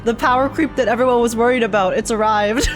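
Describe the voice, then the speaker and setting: A woman's voice: fairly high pitch, steady tone, fast talker. A woman streamer giving casual, emotional live commentary on a game in loose fannish slang.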